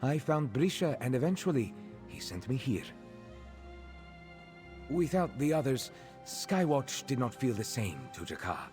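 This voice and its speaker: A man voicing a gentle cat-folk former captive in a video game, speaking in a measured, formal way and referring to himself in the third person. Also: medium pitch, big pitch swings, soft background music. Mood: measured, informative.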